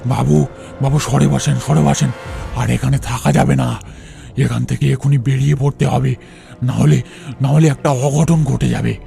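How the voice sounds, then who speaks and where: A man's voice: mid-range voice, fairly even intonation, moderate pace, creaky, warm tone. A man voicing a mysterious, fatalistic rustic boatman ghost in a cartoon ghost story, speaking deferentially and repeating his phrases. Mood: urgent, warning. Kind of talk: Bengali animated ghost story acting. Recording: music under the voice.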